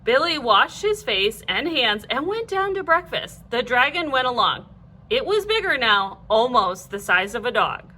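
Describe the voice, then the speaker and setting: A woman reading a children's storybook aloud like a teacher, in an animated, clearly phrased way. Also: fairly high pitch, unhurried.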